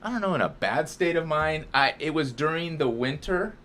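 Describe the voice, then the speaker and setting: Bright-voiced American man chatting casually. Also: on the high side, animated, normal speed. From Southern California, United States. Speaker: podcast co-host. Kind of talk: casual conversational chat.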